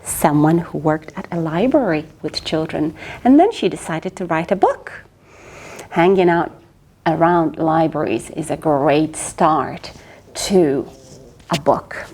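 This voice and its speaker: Animated woman reading to kids. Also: sits low, slow, husky. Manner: coaxing, asks the listener questions. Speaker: children's story reader. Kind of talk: interactive read-aloud for children.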